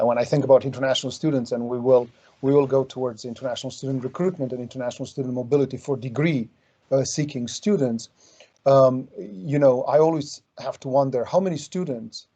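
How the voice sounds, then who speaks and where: A man from Romania hosting a conversation, his voice mellow and dark. He speaks reflectively, with lots of ums and hedges. The video call audio is dull and muffled. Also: mid-range voice, a little fast. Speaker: interview host. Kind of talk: conversational interviewing.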